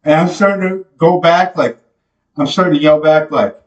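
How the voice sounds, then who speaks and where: A young man hosting a podcast, talking to the camera in a slow, rambling devotional monologue full of fillers and restarts, recorded in a small bare room. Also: on the high side, highs cut off. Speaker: podcast host. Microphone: camera mic from a few feet away. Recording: echoey room, voice a bit distant from the mic.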